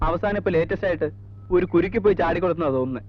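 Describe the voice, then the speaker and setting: Casting: man playing a quick, high-voiced chatterer, colloquial, dull old film sound. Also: a little hiss or hum, natural voice. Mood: gossipy. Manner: colloquial, mixing in English words. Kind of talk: 1980s Malayalam film acting.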